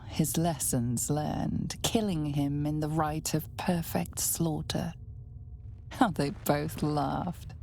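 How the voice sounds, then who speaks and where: An actress playing a solemn, ominous storyteller, narrating a fantasy video game in a measured, deliberate way. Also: female voice, sits low, gravelly, darkish tone, a little hiss or hum, exaggerated voice. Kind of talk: dramatic scripted game narration.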